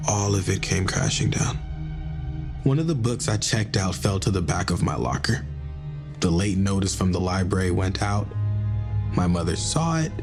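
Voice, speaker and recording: An actor playing an anguished male astronaut in an emotional, intense audio drama monologue. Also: fairly low pitch. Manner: emotional, intense, wide swings in delivery. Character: anguished male astronaut haunted by space disasters.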